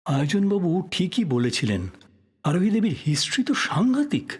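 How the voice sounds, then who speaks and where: A man playing a thoughtful, polite writer probing a death in an audio drama, speaking in a measured, reflective way. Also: high-pitched, very animated, a little mellow, slightly rough, full character voice. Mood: apologetic, musing. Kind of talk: Bengali audio drama acting.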